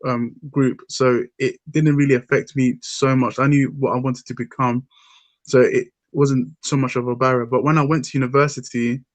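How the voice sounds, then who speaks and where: Host: man, even-toned, thoughtful, lots of ums, dry close sound. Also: medium pitch, no room sound. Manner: thoughtful, hesitant with many ums. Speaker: podcast host. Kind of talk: casual discussion.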